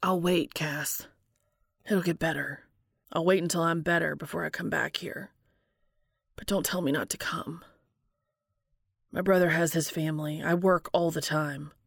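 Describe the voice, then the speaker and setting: An ailing, lovesick man in an audiobook story, voiced by a woman. He speaks low and hushed, often close to a whisper, stubborn and pleading. The sound is dry and close. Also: steady tone, unhurried, creaky, long pauses, slightly rough, dry recording, full character voice. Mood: weary pleading. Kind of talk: audiobook character dialogue.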